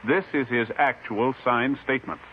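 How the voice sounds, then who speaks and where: A man reading a scripted 1950s radio sponsor announcement in a formal, measured, polished way. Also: mid-range voice. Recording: muffled vintage radio with faint noise.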